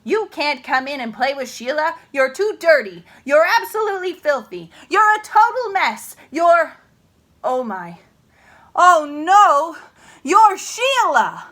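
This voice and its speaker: Exasperated scolding dad for a picture book, performed by a woman, loud, emphatic, slow swooping delivery. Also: high-pitched, big pitch swings, pitched above the performer's own voice, full character voice. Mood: exasperated, then shocked. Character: stern, scolding father who turns dirty children away.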